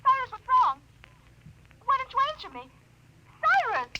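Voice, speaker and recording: A syrupy, shrill radio-drama wife, heard through a dull, band-limited call filter. Her very high voice swoops in singsong sweetness with menace underneath, amid heavy noise. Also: sing-song, moderate pace. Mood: insistent. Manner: cooing, singsong endearments. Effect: video phone call filter. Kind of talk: 1950s radio drama acting. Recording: dull, very noisy phone-call sound.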